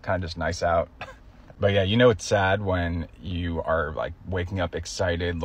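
Tired guy rambling into his phone in the car, really low raspy voice. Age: 30s. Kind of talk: casual rambling phone vlog. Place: inside a parked car. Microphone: phone at arm's length.

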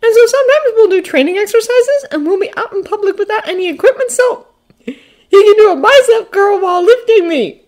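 Woman as a high, gushing young boy. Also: huge pitch swings, normal speed, hardly any pauses, dark tone, full character voice. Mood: gushing, proud. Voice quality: small youthful voice. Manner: gushing, sincere. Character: eager young boy gushing proudly about foster dad.

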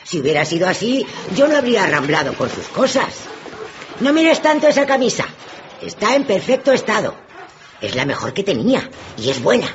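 A man's voice: high-pitched, huge pitch swings, normal speed, highs cut off, slight background noise. An actor playing a callous, gleeful scavenger who sells a dead man's belongings in a radio drama, mocking and theatrical. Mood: gloating.

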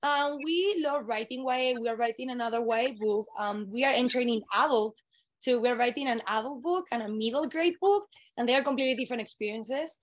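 A woman's voice: a little high, brisk, poor audio. Spanish-accented woman author on a call. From Spain. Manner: enthusiastic, speaks fluent English with frequent "um". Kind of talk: webinar conversation. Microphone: video call.

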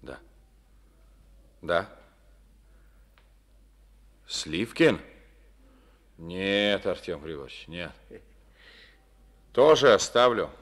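A reserved former colonel turned party secretary in an old radio drama. He speaks slowly in a low voice, in short measured replies. His pitch still moves freely when he presses a point. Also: exaggerated voice. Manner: calm, terse, measured. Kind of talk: Soviet radio play acting. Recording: old studio radio recording.

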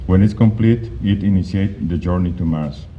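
Man, very low voice, halting conference presenter with many restarts; dull lo-fi recording, faint hiss. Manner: halting, with frequent restarts and fillers. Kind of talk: slide presentation talk. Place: conference hall.

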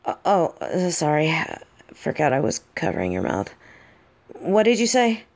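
A woman playing a possessive, brash but secretly smitten bully girl in an audio roleplay, speaking straight to the listener in a bossy, halting way. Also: low-pitched, huge pitch swings, darkish tone, heavily acted. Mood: awkward, confessing. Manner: bossy, taunting, flustered halting delivery.

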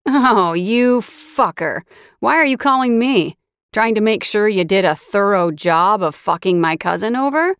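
A woman's voice: mid-range voice, expressive, measured pace, hardly any pauses, a bit bright, clean tone, dry recording, studio quality, pitched above the performer's own voice. A woman voicing an angry, protective young man who swears at the caller, reading him with raised heat. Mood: furious. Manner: angry, profane, biting.

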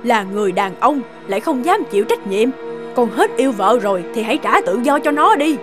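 A woman's voice: a little high, big pitch swings, fairly quick, over music. A woman voicing a stern, scheming older mother-in-law in a cartoon, speaking firmly and lecturing. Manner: firm and lecturing. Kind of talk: cartoon dub acting.

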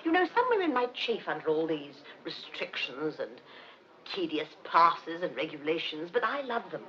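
An actress playing a dutiful, gushing colonel's wife in 1950s British film style, chatty and earnestly effusive. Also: female voice, sits low, very animated, normal speed, sharp tone, full character voice. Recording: muffled old film soundtrack.

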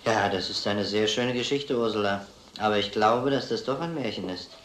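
An actor playing an earnest, methodical police lieutenant, speaking deliberately and plainly in an old crime drama. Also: male voice, fairly low pitch, fairly bright tone, close to an everyday voice. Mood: patient, sceptical. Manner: deliberate, plain-spoken, addresses children gravely. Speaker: police investigator. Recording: echoey, noisy old film soundtrack.

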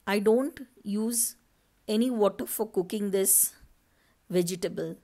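A woman's voice: medium pitch, dark tone. A woman from India, narrating a recipe step by step as a cooking channel host over her footage. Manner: slow, step-by-step instructing.

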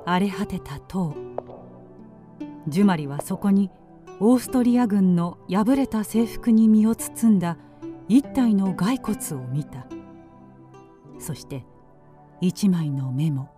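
A woman's voice: mid-range voice, animated, slow pace, pauses often, over music. A woman giving scripted narration for a radio drama.

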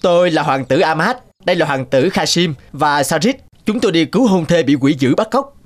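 A man's voice: on the high side, animated, fairly quick. A man voicing a courteous young prince on a quest in a cartoon, with an earnest, polite manner. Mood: courteous, concerned. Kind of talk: cartoon voice acting. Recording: faint music behind.

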